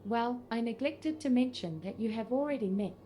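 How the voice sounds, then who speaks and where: A woman's voice: on the high side, expressive, moderate pace, mellow, a little hiss or hum, close to an everyday voice. A synthetic female voice playing a gracious, formal leader of an order in a fantasy game, speaking politely. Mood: welcoming. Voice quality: synthetic text-to-speech delivery. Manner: polite, formal, archaic wording. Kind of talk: scripted fantasy game dialogue. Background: faint music.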